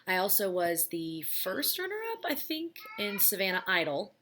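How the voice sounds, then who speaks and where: A woman in her thirties with a raspy, low voice, chatting casually at home about her life and answering viewer questions with many ums. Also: sing-song, moderate pace, a bit bright. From United States. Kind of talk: casual chat. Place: home, child heard playing in background.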